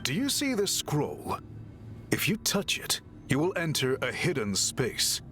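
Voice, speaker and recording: A courteous, earnest chief of a tribe in a fantasy video game. His delivery is measured and formal, yet the pitch moves with plenty of expression. Music plays clearly behind his voice. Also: wildly swooping pitch. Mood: reassuring, polite. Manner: formal, measured, deliberate phrasing. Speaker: game character voice actor. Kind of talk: scripted video game dialogue. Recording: background music under the voice.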